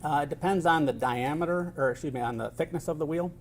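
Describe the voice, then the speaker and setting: A middle-aged man giving expert testimony in court, answering in a measured, technical way with frequent hesitations and a formal, polite manner. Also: a little high. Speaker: expert witness.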